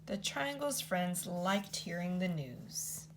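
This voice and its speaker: A woman teacher reads a picture book aloud to children. Her voice has a gravelly, creaky texture and a lively, sing-song melody. A faint hum sits under her reading. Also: slow pace. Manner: expressive read-aloud with lots of pitch movement.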